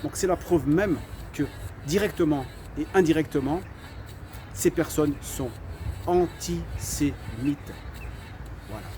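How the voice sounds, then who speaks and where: A French man of Algerian heritage talks through his views with a fairly high voice, stopping and restarting his phrases. Clear street noise hums around him outdoors. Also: slow pace, pauses often, dark tone. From Algerian heritage, raised in France. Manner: hesitant, repeats words, says "voilà" often. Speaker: channel host giving opinions. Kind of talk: casual opinion talk, outdoors.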